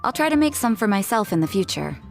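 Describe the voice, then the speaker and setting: An actress playing a cool, blunt young nun in a video game dub, dry and offhand. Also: female voice, mid-range voice, very animated, quick pace, gravelly, soft background music.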